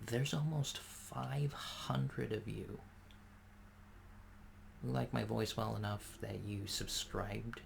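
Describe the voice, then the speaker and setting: Soft-spoken gravelly low man. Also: slight background noise. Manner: soft-spoken, rambling with many fillers. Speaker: ASMR creator. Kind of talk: soft-spoken ASMR ramble.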